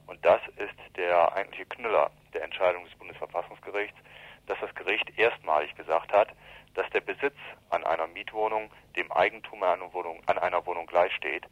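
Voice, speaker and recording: A man's voice: deep voice, fairly even intonation, fairly quick, dry recording. A man speaking as a spokesman, giving explanatory answers in a telephone interview. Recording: dull, band-limited telephone interview line.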